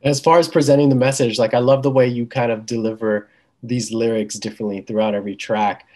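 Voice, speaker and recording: A man's voice: medium pitch, steady tone, a little fast, darkish tone. A man hosting an interview, asking loose, rambling questions full of likes and you knows.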